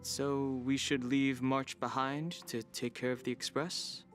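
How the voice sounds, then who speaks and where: A man voicing a reserved, matter-of-fact young man in a video game, with a deadpan delivery. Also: medium pitch, unhurried, over music, close to an everyday voice. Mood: dry teasing. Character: cool, reserved young man, dryly factual.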